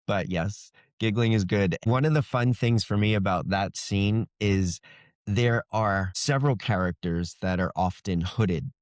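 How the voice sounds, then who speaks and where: A man's voice: on the low side, clear, resonant tone, no room sound, studio quality. A man speaking as a fantasy author in a casual interview, easygoing and explanatory in his delivery.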